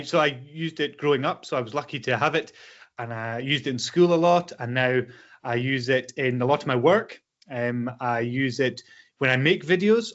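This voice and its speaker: A man's voice: a little high, fairly bright tone. A Scottish man, a presenter and filmmaker, talking easily and simply to young schoolchildren as a guest. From Scotland. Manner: easygoing, explains simply, with ums and uhs. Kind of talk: friendly guest talk to children. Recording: lo-fi video call audio.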